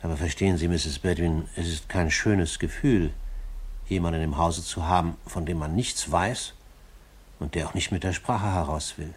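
A man playing a kindly but cautious older gentleman in a 1950s German radio play, measured and courteous. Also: low-pitched, steady tone, husky. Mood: doubtful.

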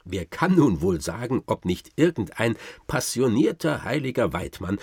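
A man playing a boastful nobleman huntsman who tells tall tales with theatrical, self-satisfied emphasis in a radio drama, his voice gravelly and husky. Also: mid-range voice, big pitch swings, moderate pace, a little mellow, no room sound. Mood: boastful, savouring. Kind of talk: dramatic literary storytelling for radio.

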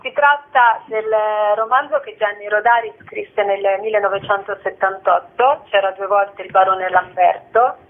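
A woman's voice: mid-range voice, unhurried, few pauses. A woman speaking by phone as an interview guest, explaining a theatre project in spontaneous speech. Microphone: telephone. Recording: tinny narrow-band phone line.

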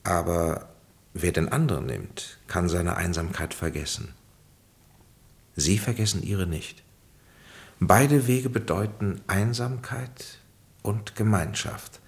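A grave, fatherly spiritual counselor in a radio drama. His voice sits very low with a gravelly creak, and he phrases things in a measured, oracular way. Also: exaggerated voice. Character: solemn, fatherly male spiritual guide and counselor, Henry. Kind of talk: German radio play acting.

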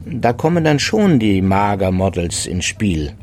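An actor playing a middle-aged psychiatrist in a German radio drama, explaining in a measured, clinical, matter-of-fact way. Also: male voice, on the low side, big pitch swings, a little mellow, slight background noise. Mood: explanatory, composed.

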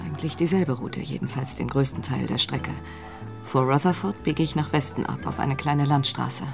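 A proud female accomplice in a radio crime play, with a very low voice for a woman. Curt, prickly and defiant, heard over clear music. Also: moderate pace. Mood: cool, prickly. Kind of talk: German radio crime drama acting.

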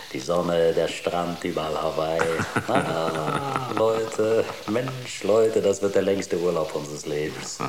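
A breezy space crewman with a low voice, talking informally and cheekily to his mates in a radio drama. The room echoes and there is clear noise behind him. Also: lively intonation, slow. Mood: breezy, teasing. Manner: informal, teasing, chatty. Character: breezy, girl-crazy male spaceship programmer, cheeky joker. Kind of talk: German radio drama acting. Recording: roomy, noisy radio drama sound.

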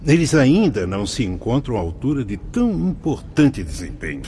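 Solemn spirit teacher, swooping delivery. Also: male voice, mid-range voice, sing-song, normal speed, mellow, a little hiss or hum. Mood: patient, firm. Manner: didactic, formal, emphatic. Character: learned spirit guide, a solemn, patient male instructor. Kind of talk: radio drama acting. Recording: music behind the voice.

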